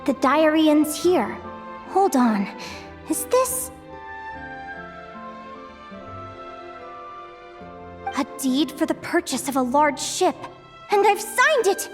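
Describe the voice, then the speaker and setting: Very high, bubbly young adventurer girl. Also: unhurried, faint music behind. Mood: amazed, elated. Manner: bubbly, expressive, exclamatory. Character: cheerful young adventurous girl dreaming of sailing. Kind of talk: animated game voice acting, narrating diary and thinking aloud.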